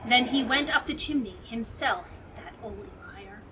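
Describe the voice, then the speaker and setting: A woman narrating a rhyming storybook expressively, with dramatic shifts between hushed and emphatic delivery. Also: a little high, expressive, a little slow. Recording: distant mic with clear background noise.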